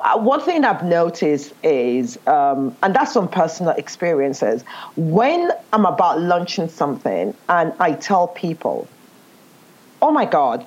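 A woman with a Nigerian accent talks in a casual conversation, emphatic and animated. Her pitch rises and falls a great deal as she tells her stories. The recording sounds dull and lo-fi. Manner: animated, emphatic storytelling with laughs. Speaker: guest.

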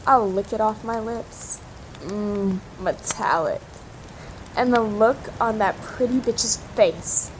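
A woman's voice: medium pitch, poor audio. An actress as a predatory young cannibal woman from an audio drama, taking her time over every word. She taunts her captors with hungry, cruel relish, over a faint bed of background noise. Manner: slow, savoring, menacing taunts.

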